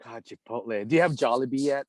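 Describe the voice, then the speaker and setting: A man's voice: mid-range voice, fast, a little mellow, dry recording, clean studio sound. A man from the Philippines, a hockey repair shop owner, chatting casually as a podcast guest, rambling with lots of "dude" and "you know".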